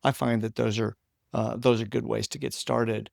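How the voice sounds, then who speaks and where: A man with a creaky, gravelly voice hosts a casual podcast interview. He talks conversationally at a medium pitch, and the sound is dry and close. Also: level tone, normal speed, a little mellow, no echo. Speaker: podcast host interviewing a guest.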